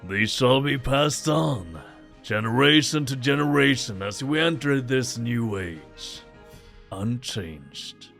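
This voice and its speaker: A man acts as a solemn fantasy king making a proclamation. His voice is gravelly and very unhurried, grand and ceremonial, with clearly audible music behind it. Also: mid-range voice, expressive. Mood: solemn, proclaiming. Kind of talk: fantasy audio drama character acting.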